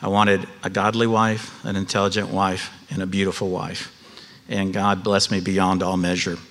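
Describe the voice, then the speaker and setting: A middle-aged man from Cuba, raised in the American South, with a Southern accent, preaching an earnest and personal sermon, often pausing on "uh". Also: low voice, level tone, a bit bright, long reverb tail. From Cuba, raised in Atlanta. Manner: earnest, personal, with frequent "uh" fillers. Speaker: pastor. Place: church sanctuary.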